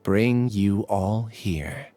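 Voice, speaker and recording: A man playing a solemn, resigned researcher in a video game, speaking in an earnest, measured way. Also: lowish voice, dark tone, no echo. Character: solemn, resigned male researcher bidding farewell.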